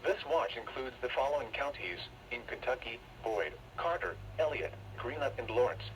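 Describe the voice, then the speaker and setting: A man's voice: medium pitch, expressive. Impersonal male weather alert announcer, noisy radio. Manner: measured, impersonal, automated delivery. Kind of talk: formal scripted alert bulletin. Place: played through a weather radio speaker.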